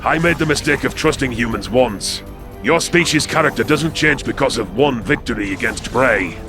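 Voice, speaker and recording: A man's voice: mid-range voice, lively intonation, moderate pace, voice pushed up, lightly acted. A man voicing a scowling, distrustful alien predator advisor with a surly, contemptuous manner in a sci-fi audio story. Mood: bitter scorn. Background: background music.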